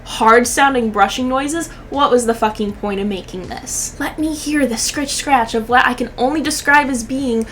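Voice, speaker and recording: Higher-voiced sarcastic woman doing commentary. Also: normal speed, hardly any pauses, a bit bright, slight background noise. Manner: sarcastic, hyperbolic, swears freely. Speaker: YouTube commentary host. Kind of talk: casual comedic reaction commentary.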